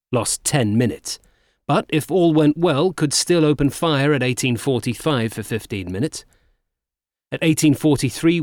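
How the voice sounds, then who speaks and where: A man acts a proud naval captain giving his wartime diary in a dramatised reading. His delivery is brisk and clipped, with terse, matter-of-fact phrasing, in a mid-pitched voice recorded dry and close. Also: no room sound, close to an everyday voice.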